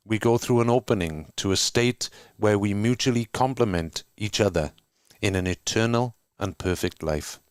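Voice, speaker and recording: A man from Wales with a Welsh accent, co-hosting an online discussion and reading prepared remarks in a measured, earnest way over a video call. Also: fairly low pitch, smooth, no echo, clean studio sound. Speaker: co-host. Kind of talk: scripted welcome and reading.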